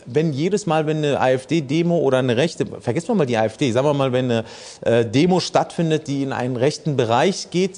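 A man in his thirties interviewing a guest in a studio, asking probing questions with halting restarts. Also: fairly high pitch, a little mellow. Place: studio set with red curtains.

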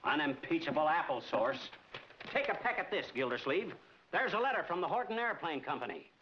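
An American actor playing a middle-aged, cocky, excitable small-town club president in a 1940s comedy film, brash and wisecracking. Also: male voice, a little high, brisk. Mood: brash, officious. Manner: brash, wisecracking, mangles big words. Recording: dull, noisy 1940s film soundtrack.

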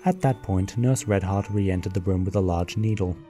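A man giving steady, scripted narration for a fan radio play. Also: low voice, normal speed, faint music behind.

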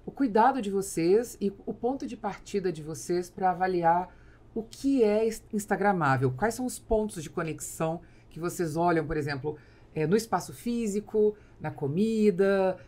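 A woman's voice: medium pitch, lively intonation, moderate pace, warm tone. A woman in her forties with a Brazilian accent, hosting a casual interview as she speaks close to a studio mic. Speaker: podcast host. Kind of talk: casual interview, asking questions. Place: small foam-treated podcast studio. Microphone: large podcast mic on boom arm, close.

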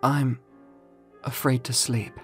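A man's voice: medium pitch, little pitch movement, takes it slowly, slightly rough, faint music behind, voice pushed up. A man voicing a frightened, exhausted young man who pleads for an honest answer. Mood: fearful. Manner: anxious, pleading. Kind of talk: audio comic book acting.

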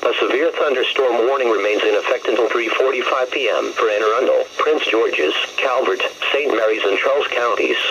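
A synthetic man's voice reading scripted weather bulletins in a measured, impersonal manner over a radio. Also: mid-range voice. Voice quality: synthetic text-to-speech voice. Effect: radio broadcast. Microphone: camera recording a radio's speaker. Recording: muffled, noisy weather radio speaker.

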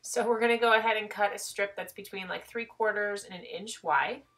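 A woman's voice: medium pitch, fairly quick, fairly bright tone. A woman with a head cold explaining a craft project step by step in a chatty, self-deprecating tutorial voice.

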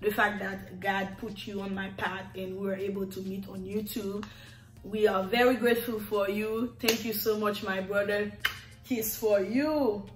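A middle-aged woman with a Haitian accent speaks slowly and fondly, drawing out repeated words in a sing-song lilt. She sits in an echoey living room facing the camera. Soft music plays faintly beneath her voice. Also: mid-range voice. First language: Haitian Creole. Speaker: YouTuber. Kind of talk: thanking subscribers on camera. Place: living room with red leather sofa, indoors. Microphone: camera in front, seated.

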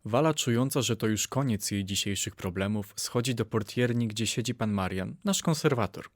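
A man's voice: fairly low pitch, normal speed, no echo. A man reading scripted story narration in a formal, wry announcer manner.

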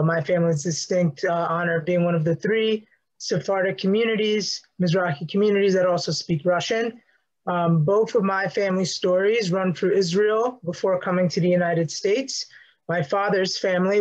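Young man on a muffled video call. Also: high-pitched. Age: 20s. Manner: earnest, measured with filler pauses. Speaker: student panelist. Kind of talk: online panel discussion. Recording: slightly muffled call audio.

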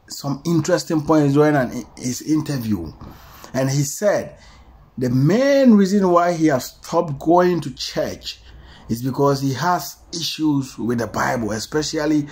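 A man giving informal news commentary to introduce an interview, in emphatic, repetitive, halting phrases. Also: a little high, darkish tone, slightly rough.

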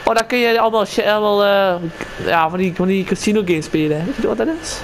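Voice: mid-range voice, fast, close to an everyday voice. A player voicing a friendly, casual local townsman in an improvised game roleplay, explaining things hesitantly. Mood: hesitant, helpful. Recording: clearly noisy game voice recording. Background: game ambience and vehicle sounds.

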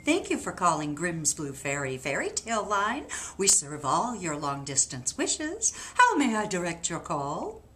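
A woman's voice: lowish voice, wildly swooping pitch, unhurried, hardly any pauses, fairly bright tone, soft background music, exaggerated voice. A middle-aged woman playing a prim, chirpy fairy-tale telephone operator in a comic routine. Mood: officious.

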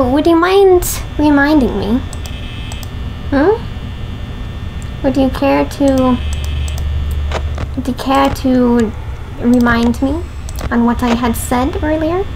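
A woman's voice: high voice, a little mellow, a little hiss or hum, rough recording. A young woman with a headset, playfully commentating a horror game, chatting to her viewers and teasing the game characters. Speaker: gaming video host.

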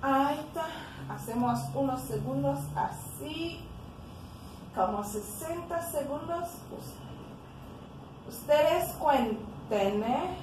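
A young woman from Haiti, speaking with a Haitian accent, talks slowly through a home workout, tired and puffing between her cues. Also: high-pitched, expressive. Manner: slow, breathy puffing between exercise cues. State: tired from exercising. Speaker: fitness vlogger. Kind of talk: casual home workout instruction. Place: living room at home. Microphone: camera on the floor nearby. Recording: echoey room with background noise.

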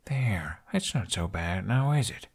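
A man voicing a gentle, calm young man who soothes animals in a soft murmur, in an audiobook. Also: low voice, a little slow, husky, heavily acted. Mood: tender.

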